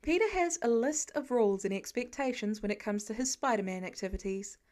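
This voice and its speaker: A woman's voice: a little high, expressive, brisk, a little mellow. A woman reading a story aloud as scripted narration. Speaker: podfic narrator.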